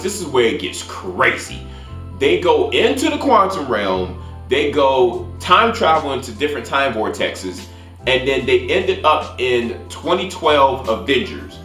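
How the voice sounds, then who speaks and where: A man's voice: mid-range voice, pushed and bright. A man hosting a casual movie review, retelling things in an informal, conversational way. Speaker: film review channel host. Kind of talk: casual movie recap with spoilers. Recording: roomy echo, faint music behind.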